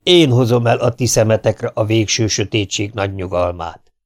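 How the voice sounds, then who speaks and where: A man voicing a proud, menacing old-time Hungarian warlord in a storybook reading, making solemn, booming threats. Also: natural voice. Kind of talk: audiobook character voice.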